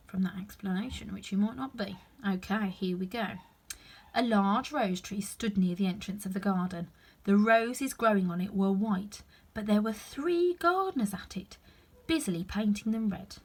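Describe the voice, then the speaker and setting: A woman's voice: medium pitch, moderate pace, a little mellow, slightly rough. A woman reading a children's story aloud expressively, with chatty asides to the listener.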